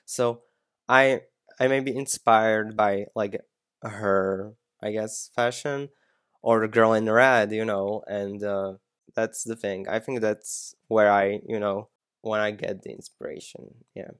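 Hesitant young man, lowish voice. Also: fairly even intonation, slow pace, smooth, no room sound, studio quality. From Slovakia. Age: young adult. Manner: hesitant, lots of "um" and "you know", laughs easily. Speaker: guest. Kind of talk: casual interview.

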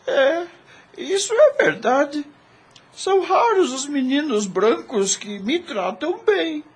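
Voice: sing-song, takes it slowly, heavily acted. An adult performer playing a poor, tender-hearted little brother in a radio drama, childlike and plaintive. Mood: shy, sincere. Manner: childlike, plaintive, high-voiced.

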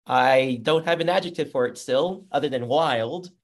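A man's voice: mid-range voice, normal speed. Upbeat, chatty male podcast host. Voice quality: loud voice. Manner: upbeat, chatty, reads sponsor plugs. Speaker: podcast host and motorsports journalist. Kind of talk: casual podcast chat.

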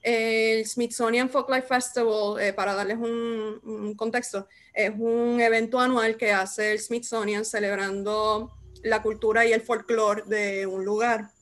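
A young adult woman hosting a casual chat, lively and quick to laugh. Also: fairly high pitch. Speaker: host. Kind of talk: casual conversation.

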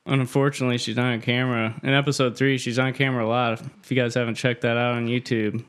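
A man's voice: mid-range voice, level tone, fast. A man in his thirties co-hosting a casual podcast, joking and bantering. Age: 30s. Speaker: podcast co-host.

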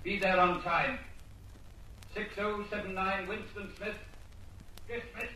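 An actor playing a stern, menacing voice of a totalitarian telescreen in a radio drama, commanding and declamatory. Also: male voice, high voice, steady tone, slow, bright, forceful tone, slight background noise, full character voice. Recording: echoing, distant old radio drama recording.

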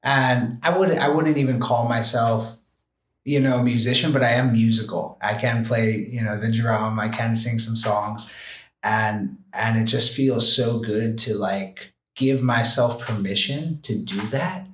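A man speaking as a podcast guest, earnest and gentle, talking in a reflective way with many fillers like "you know" and "like". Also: medium pitch, fairly even intonation, measured pace, echoey room. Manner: gentle, heartfelt. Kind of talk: reflective interview conversation.

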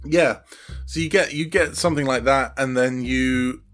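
A man being interviewed as a guest audio drama creator, chatting casually and thinking aloud in a halting way. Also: medium pitch, fairly bright tone, faint music behind.

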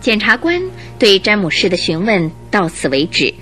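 A woman giving scripted, expressive storytelling narration for a Chinese radio drama in a measured manner. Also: medium pitch, soft background music.

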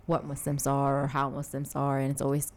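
Low-voiced woman hosting a chat. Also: monotone, brisk, a little mellow. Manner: encouraging, frequent backchannels. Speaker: host. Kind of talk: casual interview.

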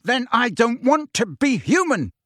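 A man acts out a teenage boy wizard in an audiobook, anguished and quick to anger. The voice is pitched high and strained, swinging widely as it pushes out each phrase. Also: very animated, husky, dry recording, clean studio sound. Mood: screaming rage. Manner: loud, strained, shaking with anger. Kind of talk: audiobook character voice.

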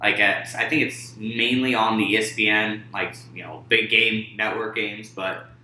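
A man co-hosting a casual sports podcast, talking in a halting way with many pauses. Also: fairly low pitch, steady tone, pushed and bright, echoey room, slight background noise, rough recording. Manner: halting, many fillers and restarts. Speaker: podcast co-host.

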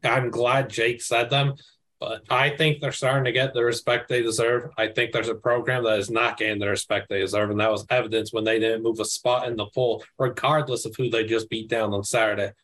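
Male panelist, mid-pitched, excitable and emphatic, casual sports talk. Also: a little fast. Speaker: college football panelist. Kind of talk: casual sports podcast chat.

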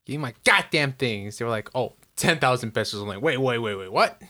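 Fast-talking animated young man. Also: medium pitch. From United States. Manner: animated storyteller acting out quotes, swearing freely. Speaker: podcast co-host. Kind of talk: casual chat.

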